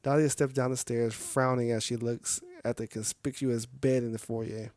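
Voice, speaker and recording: A man's voice: mid-range voice, level tone, normal speed, a little mellow. A man reading scripted game narration aloud as a streamer playing a visual novel. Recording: music faintly behind the voice. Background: music.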